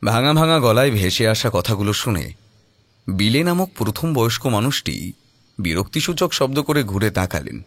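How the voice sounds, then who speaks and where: A man's voice: fairly low pitch, very animated, moderate pace, fairly bright tone, dry recording. A man narrating a scripted horror audio story in a suspenseful, dramatic manner.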